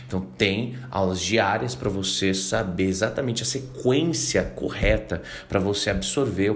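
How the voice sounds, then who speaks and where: A man with a Brazilian Portuguese accent giving a casual video lesson on learning English, lively, acting out learners' reactions and reading English lines slowly. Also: fairly low pitch, slight background noise. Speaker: English teacher.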